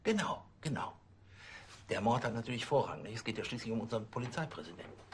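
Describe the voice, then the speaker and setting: A man's voice: medium pitch, sing-song, fast talker, a little hiss or hum, close to an everyday voice. A man playing a fussy, self-important provincial police chief who speechifies pompously and hesitantly in a TV drama. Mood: officious.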